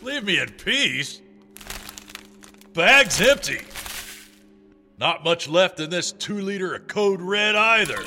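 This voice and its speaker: A man's voice: high-pitched, animated, slow pace, pauses often, sharp tone, full character voice. An actor in an audio drama playing a grouchy, self-indulgent male boss who grumbles irritably. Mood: irritated, grumbling. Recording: reverberant hall, music behind.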